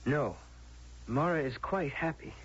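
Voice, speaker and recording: A man's voice: fairly high pitch, expressive, unhurried, fairly bright tone, slightly rough, heavily acted. An actor playing a desperate, pleading man in a radio drama, coaxing urgently and hesitantly. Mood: nervous insistence. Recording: muffled old radio broadcast recording.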